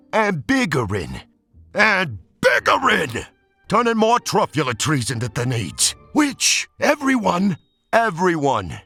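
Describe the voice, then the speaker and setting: A man's voice: fairly high pitch, bright, forceful tone, no echo, pitched above the performer's own voice, heavily acted. A man voicing a greedy, defiant factory tycoon in a storybook, emphatic and self-justifying in rhyme. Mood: gloating, grandiose. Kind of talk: rhyming storybook character voice.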